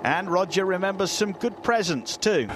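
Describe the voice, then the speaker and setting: A man's voice: high-pitched, moderate pace, noisy background. Middle-aged British man narrating a TV feature. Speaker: TV reporter.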